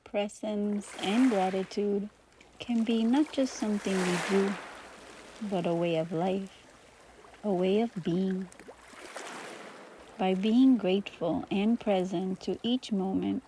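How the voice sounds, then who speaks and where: A woman teaching meditation, guiding listeners gently through breathing and gratitude with soft pauses between phrases. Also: medium pitch, steady tone, a little hiss or hum. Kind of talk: guided meditation.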